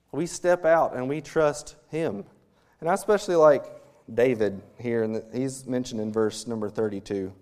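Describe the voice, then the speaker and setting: A man preaching a sermon as a missionary, animated and acting out little scenes with humor. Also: mid-range voice. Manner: animated, acts out quotes with humor.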